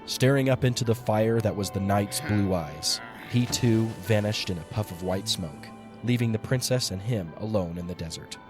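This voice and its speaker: A man's voice: fairly low pitch, normal speed. A man narrating a dramatic story as a scripted audio drama narrator. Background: music behind the voice.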